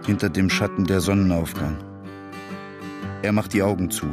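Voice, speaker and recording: An actor playing a weary, defiant working-class drifter in a naturalistic radio play, speaking in terse phrases. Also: male voice, sits low, steady tone, moderate pace, over music, lightly acted. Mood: weary, resigned.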